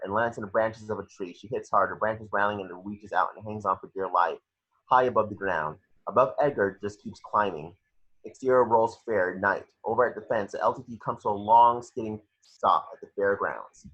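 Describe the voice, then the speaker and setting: A man's voice: fairly low pitch, fairly bright tone, slightly rough. A man reading screenplay action descriptions at a table read, stumbling a little over the script, on a lo-fi home recording.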